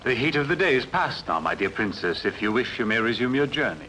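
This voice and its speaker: A courtly middle-aged royal escort played by a man, politely impatient in a dubbed film. His sharp, forceful voice has animated pitch and formal, clipped phrasing, over faint noise. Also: fairly quick, rough recording. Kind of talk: dubbed sword-and-sandal film acting.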